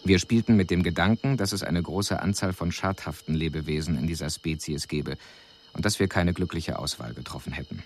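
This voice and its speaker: Low male voice, detached alien envoy, clinical and formal radio drama report, clear background noise. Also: fairly quick. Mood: clinical, observing.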